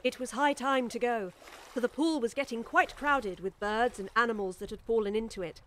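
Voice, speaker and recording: A woman's voice: on the high side, moderate pace, fairly bright tone, slight background noise. A woman narrating a scripted children's audiobook story.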